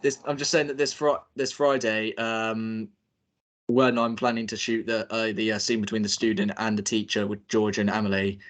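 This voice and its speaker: Hesitant young man, slightly low voice. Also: level tone, normal speed. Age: young adult. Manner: hesitant, full of fillers and restarts. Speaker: student film director. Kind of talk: casual planning call.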